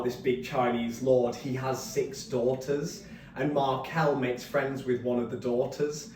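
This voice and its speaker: A man giving an informal author talk, explaining his writing process in a rambling, animated way. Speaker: novelist discussing his book at a reading. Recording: roomy, distant mic, faint rumbling noise.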